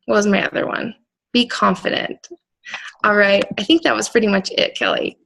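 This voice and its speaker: A woman's voice: medium pitch, steady tone, normal speed, vocal fry, a bit bright, poor audio. A woman coach speaking as a guest on a video team call, giving an upbeat pep talk in short, punchy directives.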